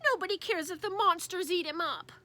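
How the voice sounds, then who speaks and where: A woman's voice: extremely high pitch, brisk, bright, forceful tone, pitched above the performer's own voice. A woman voicing an anxious, stubborn little boy who pleads and insists in a storybook. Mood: whiny, protesting.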